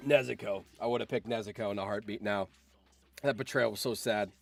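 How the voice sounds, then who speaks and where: A man's voice: lowish voice, fairly even intonation, a little fast, gravelly, faint music behind. A man hosting a livestream, chatting casually with viewers and thinking aloud, often repeating his phrases. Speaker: livestream host.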